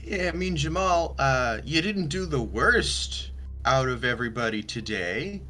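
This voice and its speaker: A man's voice: mid-range voice, animated, unhurried, bright, forceful tone, faint music behind. A man playing the rival chaser on an amateur quiz show, teasing the contestants. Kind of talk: casual banter.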